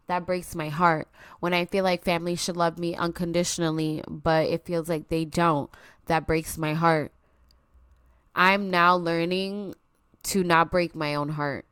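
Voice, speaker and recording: A woman's voice: lowish voice, fairly bright tone, clean tone, dry recording, clean studio sound. A young woman hosting her own podcast, talking earnestly and openly to her listeners, a little stuffy from allergies. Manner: earnest, confiding, speaks straight to listeners. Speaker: podcast host. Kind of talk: personal solo podcast talk. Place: at home.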